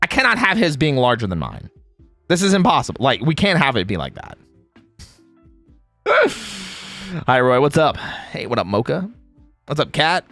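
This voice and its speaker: A man's voice: mid-range voice, normal speed, vocal fry, long pauses, soft background music. A man hosting a casual livestream, chatting playfully with viewers, teasing and breaking into exclamations. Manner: exclamatory, animated reactions. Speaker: streamer and host; game voice actor.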